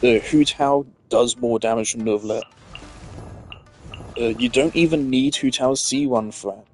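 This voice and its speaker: A man's voice: mid-range voice, normal speed, faint music behind. A man streaming a video game, giving casual, opinionated commentary full of filler words.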